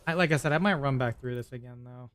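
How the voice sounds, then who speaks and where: A man streaming a game, giving casual commentary and thinking aloud in a low-key way. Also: fast, a bit bright, clear, resonant tone. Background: faint game music.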